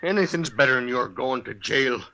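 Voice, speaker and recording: An actor playing a desperate, protective frontier husband in a 1950s radio western, gravelly and urgent, with pleading, rustic speech. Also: male voice, medium pitch, expressive, moderate pace, bright, forceful tone. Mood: anguished, pleading.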